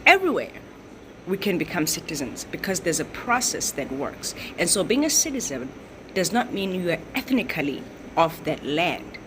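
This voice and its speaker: A woman's voice: lowish voice, sing-song, normal speed, noisy background. A woman giving commentary in a lecturing way, repeating her points and checking in with "right?". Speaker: social commentator. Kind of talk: explanatory commentary.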